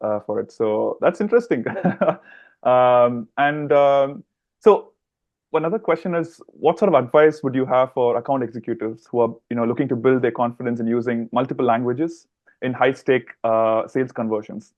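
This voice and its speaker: Man, podcast host, mid pitch, chatty, laughs often, dry room. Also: moderate pace, a little mellow, no echo, muffled recording. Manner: laughs often, asks long rambling questions. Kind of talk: casual interview questions.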